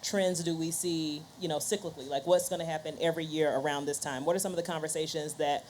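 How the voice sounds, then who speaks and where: A woman talks conversationally as a guest on a panel. Her voice is a bit low for a woman, and faint ambient noise sits behind it. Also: fairly even intonation, fast, a little mellow. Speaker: panelist, podcast host and scientist.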